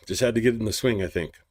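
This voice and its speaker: A man hosting a daily news stream, reading war reports aloud slowly and stumbling over hard foreign place names, with casual asides. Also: lowish voice, darkish tone, breathy edge. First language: English. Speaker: news show host.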